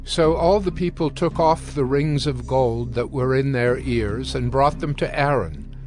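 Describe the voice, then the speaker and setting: A man narrating scripture from a script in a measured, storytelling manner. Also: mid-range voice, a little mellow, soft background music.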